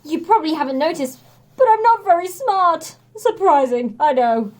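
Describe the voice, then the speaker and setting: Casting: woman playing a boastful, dim-witted mighty hero for a children's story, bombastic and wailing, high voice with big swoops. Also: expressive, moderate pace, a little mellow. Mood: miserable. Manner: bombastic, dramatic, prone to wailing.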